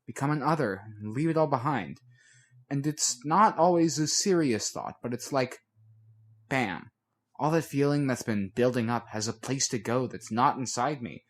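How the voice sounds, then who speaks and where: Man as a moody, halting teenage girl. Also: medium pitch, close to an everyday voice. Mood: troubled, confessional. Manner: halting, slangy, confessional. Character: moody, self-doubting teenage girl confiding in her friend.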